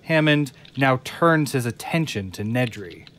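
A man narrating an audio drama from a script, in measured, descriptive storytelling. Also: mid-range voice, lively intonation, a bit bright, a little hiss or hum. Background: faint music.

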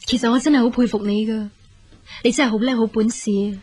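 A proud, downcast younger sister in her twenties in a Cantonese radio drama. Her voice is fairly high and husky, with a Hong Kong accent and emotional delivery. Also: fairly even intonation, fast talker, darkish tone. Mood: grateful. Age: 20s.

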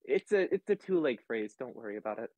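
A man voicing a gentle, awkward, reassuring cat adventurer in a tabletop game. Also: mid-range voice, lively intonation, fast talker, no echo, natural voice.

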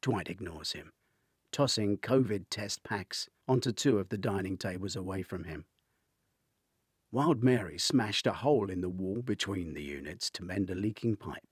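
An older man narrates a thriller with a low, husky voice. His pitch moves freely as he tells the story with dramatic expression, recorded close and dry. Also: no echo. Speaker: author reading own novel. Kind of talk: audiobook-style thriller narration.

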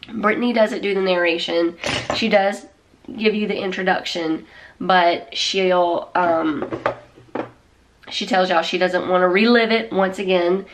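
A congested woman in her forties with a drawl rambles to her phone at arm's length in a small room at home. She talks slowly, full of fillers and restarts, in a lowish voice. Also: steady tone, background noise. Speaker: vlogger. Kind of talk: casual chat. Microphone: camera or phone at arm's length.

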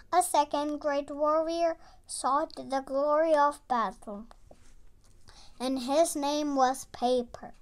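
Storybook reader, very high-pitched and bright, slow measured pace, dramatic and expressive. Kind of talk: animated storybook read-aloud.

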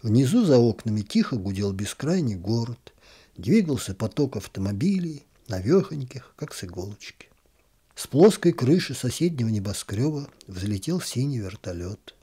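A man reading a literary story aloud in an expressive, gently ironic storytelling manner. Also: medium pitch, normal speed, slightly rough.

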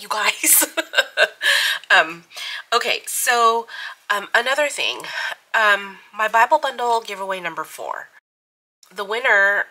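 A woman's voice: on the low side, pushed and bright, rough recording. A middle-aged woman with sniffly allergies, chatting casually as the host of a planner channel, rambling with lots of ums and giggles. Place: home craft desk. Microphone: overhead camera on desk, hands only.

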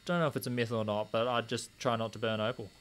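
Brief Young adult man, mid-pitched voice. Casual live-stream host who rambles and answers viewer comments as he works at a small home desk. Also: fairly even intonation, fast, fairly bright tone. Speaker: stream host polishing gemstones. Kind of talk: casual live-stream chat while working. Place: small home desk corner with a rotary tool running.

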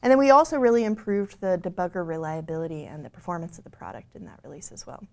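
A woman in her forties explaining software features on a studio stage, fluent but full of "you know" fillers and small restarts. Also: lowish voice, quick pace, creaky. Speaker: product lead presenting the software. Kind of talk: scripted-feeling tech keynote conversation. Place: bright studio stage with screen behind.

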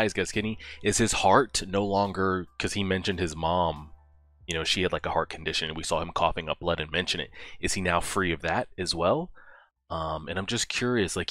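Very deep-voiced young man, casual commentary. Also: sharp tone. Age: young adult. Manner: informal, musing aloud in short remarks. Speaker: reaction video host. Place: small indoor room at home. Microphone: headset boom mic.

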